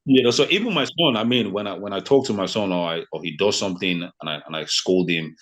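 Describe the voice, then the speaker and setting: Brief: man in his thirties from Nigeria, Yoruba first language, very low voice, easygoing chatty guest. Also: fast, background noise. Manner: relaxed, lots of fillers like "you know" and "man". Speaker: podcast guest. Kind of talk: casual conversation.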